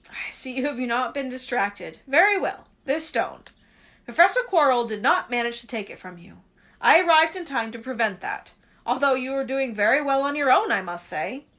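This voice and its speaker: A woman voicing a kindly old male wizard headmaster, speaking gently and unhurriedly with dry humor, while reading a storybook aloud. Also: high-pitched, expressive, moderate pace. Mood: gentle.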